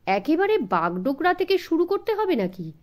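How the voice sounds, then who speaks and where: A woman's voice: very high voice, sing-song, normal speed, a little mellow, voice pushed up. A woman voicing a fifteen-year-old boy assistant in an audiobook, with a hesitant, flustered manner.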